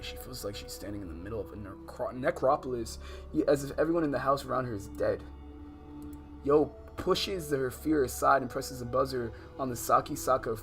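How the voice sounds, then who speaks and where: An American man hosting a game livestream, switching between slangy, profane, exasperated chat and reading visual novel text aloud. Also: mid-range voice. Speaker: livestream host. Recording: music behind the voice.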